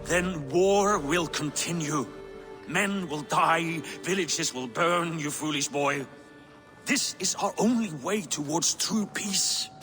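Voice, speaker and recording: A slow, grave older Norse king. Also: a little high, bright, forceful tone, exaggerated voice. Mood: grave, firm. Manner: solemn, oratorical. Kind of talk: dramatic scripted game acting. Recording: echoey hall with music underneath.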